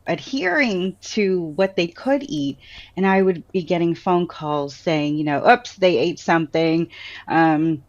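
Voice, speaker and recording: Upbeat American-accented woman, muffled line. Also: lowish voice. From Trinidad. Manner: lively storyteller who laughs often. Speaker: author and guest. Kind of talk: casual interview answers.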